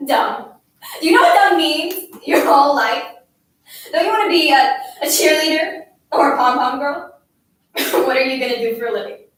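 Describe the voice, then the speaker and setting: A woman's voice: a little high, lively intonation, measured pace, pauses often, a bit bright. A woman playing a bullying young schoolgirl in a dramatic monologue, scornful, taunting and relentlessly insistent. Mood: scornful, desperate. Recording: echoey room, distant mic, faint noise.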